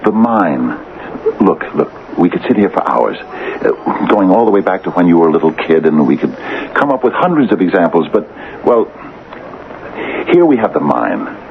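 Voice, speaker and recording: A man's voice: low-pitched, very animated, moderate pace. An actor playing an anxious, superstitious small-town man who pleads and stammers earnestly, in an old-time radio drama. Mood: urgent, halting. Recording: dull, hissy vintage radio recording.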